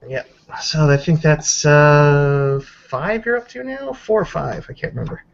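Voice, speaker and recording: A man's voice: on the high side, unhurried, gravelly. A man acting as game master, narrating a tabletop roleplaying game casually and swearing freely. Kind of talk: casual live game narration with dice counting. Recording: dull lo-fi voice chat audio.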